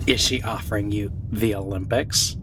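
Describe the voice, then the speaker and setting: A woman playing a scheming junior talent agent in a comedic audio drama, flattering and grandiose in persuasion. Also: normal speed. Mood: slyly persuasive. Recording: rumbling car noise.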